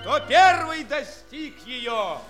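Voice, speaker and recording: A boisterous herald from a children's audio play, proclaiming rhymes in a very high, bright voice. He stretches his words out theatrically and very slowly, with faint music behind him in an old 1960s studio recording. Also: very animated, full character voice. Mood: grandly proclaiming. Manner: declamatory, theatrical, drawn-out proclaiming. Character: boisterous rhyming male tournament herald in a fairy tale.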